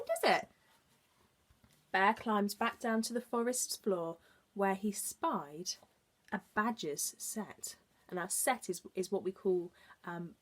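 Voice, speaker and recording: A woman reads to small children as an early years teacher. Her delivery is slow and very melodic, swinging up and down. She adds simple explanations along the way. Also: mid-range voice. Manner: expressive, explaining for young children. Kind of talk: children's storybook narration with asides to listeners.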